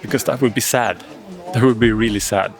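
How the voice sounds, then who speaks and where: A man's voice: fairly low pitch, fairly even intonation, a little fast. A man narrating his filmmaking vlog with enthusiasm. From Sweden. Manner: enthusiastic, reflective. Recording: music playing underneath.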